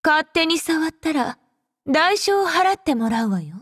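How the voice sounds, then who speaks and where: Casting: haughty game femme fatale, young warrior, high-pitched, unhurried, teasing and possessive. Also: female voice, big pitch swings, a bit bright, dry recording, studio quality, full character voice. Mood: mocking, threatening. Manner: languid, teasing, coolly condescending. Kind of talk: Japanese game character voice lines.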